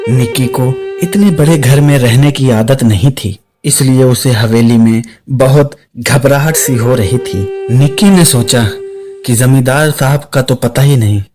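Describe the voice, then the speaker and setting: Slow male story narrator over music. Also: medium pitch. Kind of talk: scripted suspense story narration. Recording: clear music under the voice.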